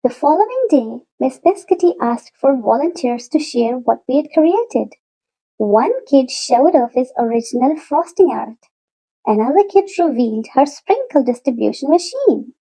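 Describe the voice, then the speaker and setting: A woman reading a children's picture book aloud in an expressive storytelling manner, with deliberate, drawn-out emphasis. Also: high voice, husky, rough recording. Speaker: storybook read-aloud narrator.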